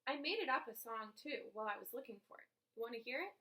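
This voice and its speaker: Woman as an excitable, high-pitched chatty schoolboy. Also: fast talker. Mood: bewildered, excited. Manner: eager, emphatic, exclamatory. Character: excitable, chatty schoolboy who rambles off topic.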